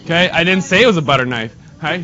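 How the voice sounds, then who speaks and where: A man's voice: expressive, quick pace, pushed and bright. Nasal man teaching math to a classroom. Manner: casual, talks to students while drawing on the board. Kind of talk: classroom lesson. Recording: dull lo-fi sound, faint rumble.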